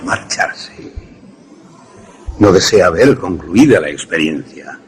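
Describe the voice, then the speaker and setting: Mad vengeful professor, very low voice, swooping intonation, formal sardonic menace, radio drama. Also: male voice, animated, slow, slightly rough, faint music behind, full character voice. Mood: cold politeness. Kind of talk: Spanish radio suspense drama acting.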